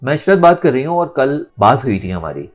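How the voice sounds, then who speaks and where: A man's voice: mid-range voice, sing-song, a little fast, soft background music, voice pushed up. A man voicing a defiant, outspoken young woman in an audio novel. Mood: determined. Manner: bold, firm, outspoken. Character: defiant young woman standing up to family patriarch.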